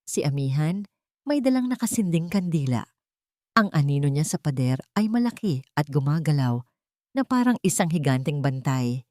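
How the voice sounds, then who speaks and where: A woman's voice: deep voice, dark tone, dry recording, studio quality. A woman with a Filipino accent narrating a dramatic scripted love story.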